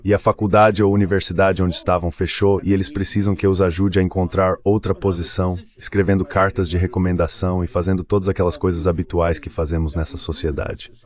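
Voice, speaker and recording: A man speaks in a low voice with a Brazilian accent. He delivers a dubbed translation of an interview as a voice-over. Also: level tone, fast talker, hardly any pauses, a little mellow.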